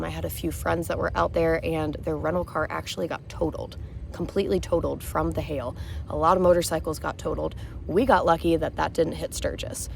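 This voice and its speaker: A woman with a hoarse voice, speaking as a rally vendor in a chatty, matter-of-fact way. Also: deep voice, a little hiss or hum. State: hoarse, losing her voice. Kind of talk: casual vlog chat to camera. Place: outdoor campground.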